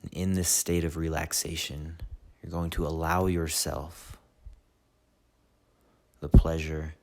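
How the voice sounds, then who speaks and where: A man leading a guided meditation in a soothing, measured way, with long pauses between short phrases. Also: a bass voice, little pitch movement. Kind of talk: slow guided meditation narration.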